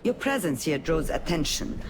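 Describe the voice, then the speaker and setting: An actor voicing a sly, haughty otherworldly broker in a video game, formal and coolly condescending. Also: male voice, on the high side, big pitch swings, normal speed. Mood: guarded, condescending. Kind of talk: video game character dialogue. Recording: game audio with background ambience noise.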